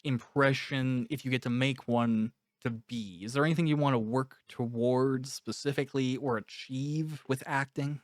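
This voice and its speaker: A man's voice: mid-range voice, steady tone, moderate pace, hardly any pauses, no echo. Casual man interviewing, dry close sound. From Canada. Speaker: interviewer and author.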